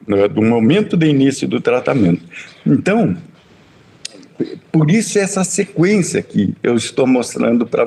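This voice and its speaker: A man with a Brazilian accent lecturing as a professor, in a didactic, halting way with repeated words and frequent tag questions. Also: mid-range voice, vocal fry, dark tone, a little hiss or hum. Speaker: university veterinary professor. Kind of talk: lecture with slides.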